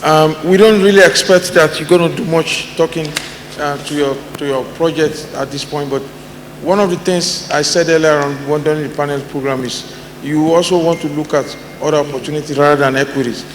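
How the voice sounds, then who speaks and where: A man in the audience giving advice, halting, with restarts and uhs. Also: on the high side, steady tone. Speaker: audience member. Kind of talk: audience comment at a summit. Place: large conference hall. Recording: echoey hall with faint room noise.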